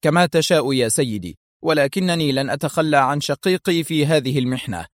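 A man's voice: medium pitch, fast talker, no echo, studio quality, voice pushed up, natural voice. A man voicing a determined, indignant male intelligence officer in an Arabic audiobook thriller. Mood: firm, defiant. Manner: indignant, firm, clipped questions and demands.

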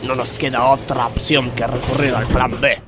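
A man acts a scheming workers' ringleader in an Argentine radio comedy. He is persuasive and grandiose in a mid-pitched male voice, like a plotter rallying his crew. Music plays behind him. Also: flat delivery, normal speed, natural voice. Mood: conspiratorial, grandiose. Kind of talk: comedic radio play acting.

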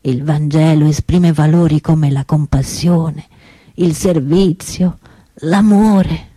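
A woman playing an outspoken, indignant preacher at Mass in a theatrical radio monologue, solemn and deliberate, with rhetorical pauses. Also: medium pitch, mellow, dry recording, rough recording. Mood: solemn, reflective.

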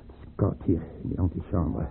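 An actor playing an impatient, irreverent young court adjutant in a radio play, grumbling and gossipy. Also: male voice, moderate pace, natural voice. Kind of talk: Dutch radio play acting. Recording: muffled, phone-like old radio play recording.

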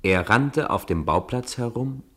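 A man narrating a radio play in a measured, storytelling manner. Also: mid-range voice, animated, a bit bright.

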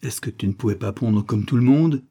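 A man's voice: on the low side, a little fast, mellow. A man voicing a frantic mother hen who scolds her daughter in alarm, in a storybook reading. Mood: frantic scolding.

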